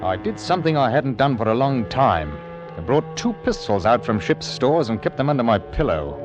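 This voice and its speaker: An actor playing a stern, humane ship's captain who speaks in a firm, measured way in an old radio drama. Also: male voice, medium pitch, big pitch swings, over loud music, natural voice. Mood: grave recounting. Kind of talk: old-time radio drama narration and dialogue. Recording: dull lo-fi old radio recording.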